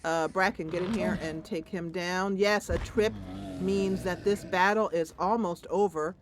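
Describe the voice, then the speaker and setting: A woman's voice: lowish voice, fairly even intonation, unhurried, noisy background. A woman doing casual gameplay commentary, thinking aloud playfully and talking to the game's characters. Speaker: host of a game playthrough.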